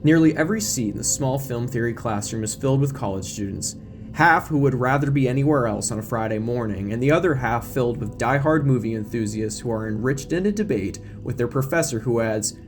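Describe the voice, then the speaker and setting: A man narrates an audio drama in a scripted, dramatic style. His pitch sits in the middle range for a man. Music plays clearly underneath his voice. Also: brisk. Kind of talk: scripted dramatic narration reading all parts.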